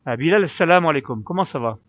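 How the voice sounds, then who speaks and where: A male podcast host who leads the conversation with polite, well-ordered questions. His voice sits a little high for a man, and the recording sounds muffled and lo-fi. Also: expressive, very fast, fairly bright tone. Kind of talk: podcast interview.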